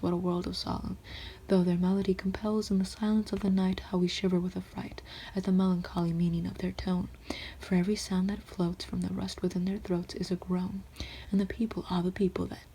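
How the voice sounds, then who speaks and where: A woman's voice: mid-range voice, slight background noise, low-quality recording. Whispering woman reciting old poetry. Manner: soft steady whisper, rhythmic recitation.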